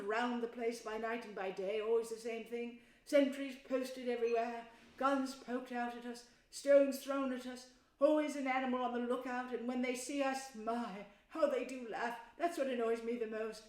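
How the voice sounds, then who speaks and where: A woman voicing an eager, sometimes grumpy little male mole who chatters in a high, excited voice. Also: fairly quick, hardly any pauses. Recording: roomy echo.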